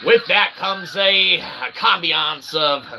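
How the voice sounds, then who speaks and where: A man with a fairly high voice rambles as host of a homemade comedy show. He takes his time, stretching wordy, mock-grandiose sentences with halting pauses. There is clear noise in the recording. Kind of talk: rambling tongue-in-cheek monologue.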